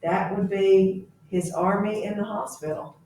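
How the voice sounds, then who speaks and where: A woman's voice: medium pitch, steady tone, a little slow, warm tone, lightly acted. A woman reading a novel aloud as a skeptical middle-aged male army captain, exasperated and challenging. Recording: very echoey room, distant mic.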